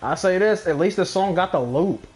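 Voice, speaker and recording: Higher-pitched young man, casual game commentary. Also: lively intonation, moderate pace, a little mellow. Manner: profane, slangy. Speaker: video game streamer. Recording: game music behind the voice.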